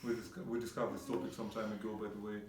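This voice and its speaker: Halting male talk show host. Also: lowish voice, level tone, darkish tone, a little hiss or hum. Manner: halting, with many repeated words. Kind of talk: casual interview questions. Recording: echoey room, heard from a distance.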